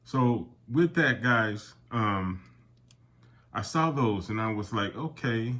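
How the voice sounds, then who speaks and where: A man's voice: fairly low pitch, animated, takes it slowly, a bit bright. Older man, nasal with a cold. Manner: rambling, with many fillers and restarts, calls viewers "guys". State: has a summer cold. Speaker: airgun collector and channel host. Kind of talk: casual product talk to camera.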